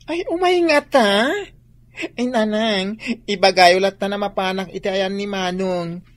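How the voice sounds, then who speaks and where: A woman's voice: mid-range voice, a little slow, lightly acted. A woman with an Ilocano accent acting a timid, plaintive, effeminate son in a radio drama. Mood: subdued, reluctant. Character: tearful, timid effeminate son, mistreated by father.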